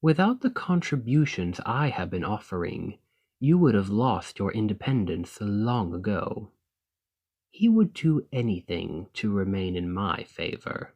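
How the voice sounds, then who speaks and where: A man's voice: on the low side, big pitch swings, darkish tone, breathy edge, dull audio, full character voice. A man playing an ambitious foreign noble in a fantasy audio roleplay, speaking in a formal, composed, courteous and persuasive manner. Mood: formal, coolly persuasive. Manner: formal, measured, deliberate, condescendingly sympathetic. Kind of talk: scripted fantasy ASMR roleplay monologue.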